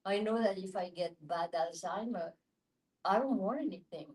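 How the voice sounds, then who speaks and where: A woman's voice: medium pitch, normal speed, a little mellow. A woman with a French accent speaking frankly and matter-of-factly in a group discussion. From France.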